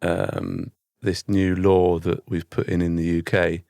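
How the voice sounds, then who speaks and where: A man's voice: low-pitched, flat delivery, takes it slowly, creaky, warm tone, no room sound, clean studio sound. A British man co-hosting a podcast in casual banter, bemused and rambling as he searches for words. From United Kingdom. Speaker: podcast co-host.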